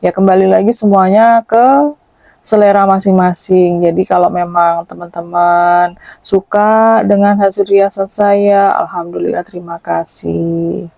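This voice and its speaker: A woman with a slightly shrill voice, chatting casually and modestly to her viewers in a voice-over as a makeup artist. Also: fairly even intonation, unhurried, gravelly, mellow, dry recording. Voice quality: shrill, thin. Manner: self-deprecating, chatty, addresses viewers as friends. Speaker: makeup artist and channel host. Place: dubbed voice-over, dry close recording.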